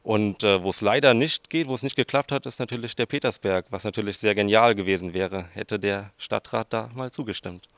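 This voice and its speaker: A man's voice: on the low side, fairly quick, few pauses, a bit bright, no echo. A man in his twenties with a southern Hessian German accent, answering interview questions informally and a little hesitantly. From southern Hesse, Germany. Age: 20s. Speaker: interviewee, student researcher.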